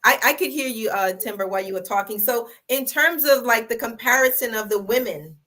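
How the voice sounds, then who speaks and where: A woman's voice: on the high side, brisk, pushed and bright. A woman hosting a casual panel discussion, putting questions to her guest in a conversational way. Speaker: panel show host. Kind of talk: casual interviewing. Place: online group video call.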